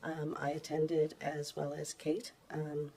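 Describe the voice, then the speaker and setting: A woman with a low voice, giving a hesitant report to a council with many ums and restarts. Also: monotone, slow pace, mellow. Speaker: municipal clerk. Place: council chamber. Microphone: table microphone.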